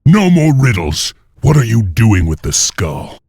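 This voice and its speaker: An actor playing a noble, stern wolf clan leader in a video game, speaking in a solemn, commanding way. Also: male voice, lowish voice, very animated, a little slow, a little mellow, husky, dry recording, clean studio sound, heavily acted.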